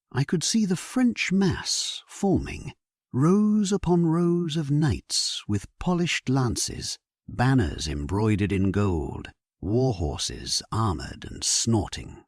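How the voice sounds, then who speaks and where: A man giving a solemn, measured and theatrical first-person narration of a scripted dramatic sleep story. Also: fairly low pitch, a little mellow, slightly rough, no room sound, clean studio sound.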